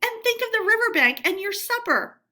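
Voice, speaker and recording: A woman's voice: extremely high pitch, expressive, moderate pace, pushed and bright, voice pushed up, heavily acted. A woman voicing a timid, homesick male mole in a storybook, plaintive and sobbing as he pleads. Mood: pleading, alarmed. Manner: sobbing, plaintive, broken delivery.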